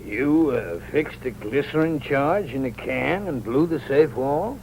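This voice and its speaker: An actor playing a shrewd, calm sheriff who drawls with wry amusement in a 1950s radio drama. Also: male voice, mid-range voice, a little slow. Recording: dull, hissy old radio broadcast.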